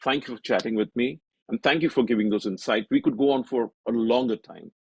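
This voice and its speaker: A man with an Indian accent hosting an interview in a conversational, somewhat halting and roundabout way. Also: mid-range voice, creaky, husky. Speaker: interviewer, event host.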